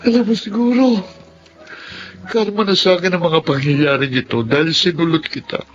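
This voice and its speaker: A man's voice: on the high side, normal speed, a bit bright, lightly acted. A Filipino man playing a remorseful, burdened widowed father in a radio-style drama. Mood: regretful, confessing. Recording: dull lo-fi audio with music behind.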